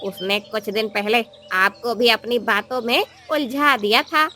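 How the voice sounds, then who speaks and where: A woman voicing an earnest, respectful monkey in a children's animated story. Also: on the high side, a little slow, faint music behind. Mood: worried. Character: earnest, watchful monkey reporting to his king.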